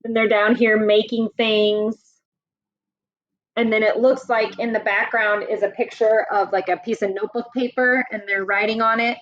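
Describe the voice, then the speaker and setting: A woman's voice: a little high, flat delivery, a bit bright, highs cut off. A woman teacher reading a picture book aloud to children and stopping to ask them questions in a patient way. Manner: slow, patient, asks questions to young listeners. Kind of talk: read-aloud story with class questions.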